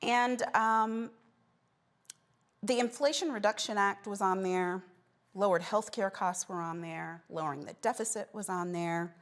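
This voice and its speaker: An American woman with a medium-pitched voice hosts a political talk show. She speaks as a commentator who presses her points firmly and pointedly. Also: a little slow. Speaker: news commentary show host. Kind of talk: political panel discussion.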